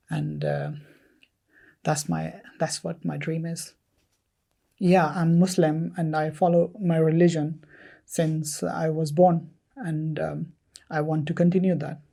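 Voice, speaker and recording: A man, speaking hesitantly about his own life with many pauses. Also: on the high side, vocal fry. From Pakistan. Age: 30s. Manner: hesitant, soft-spoken, many fillers. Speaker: documentary interviewee. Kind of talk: personal testimony.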